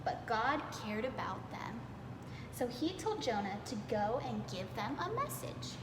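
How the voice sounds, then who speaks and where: A woman reading aloud to young children in a playful, expressive way, dwelling on words for emphasis. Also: on the high side, measured pace. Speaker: preschool storytime reader. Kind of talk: animated children's read-aloud. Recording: echoey reverberant room with clear background noise.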